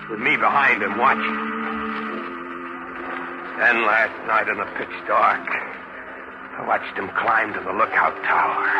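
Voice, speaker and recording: Actor as brooding ship's officer, music behind. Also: male voice, lowish voice, lo-fi sound, lightly acted. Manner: dramatic, brooding, emphatic. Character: earnest ship's officer recounting a haunted voyage. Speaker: narrator and lead actor in radio drama. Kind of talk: 1940s radio drama narration and acting. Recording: old 1940s radio recording.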